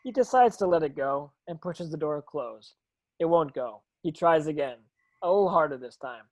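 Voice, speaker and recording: A woman's voice: low-pitched, expressive, moderate pace, darkish tone, no room sound. A woman reading script stage directions as narration, with occasional stumbles over the words.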